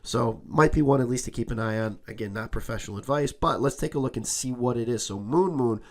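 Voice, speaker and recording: A young man with a cold and a slightly raspy voice, hosting a casual crypto channel and talking loosely over his screen, often tacking on "okay?". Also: mid-range voice, a little fast, a little mellow. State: has a cold, a bit raspy. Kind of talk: casual screen-share commentary.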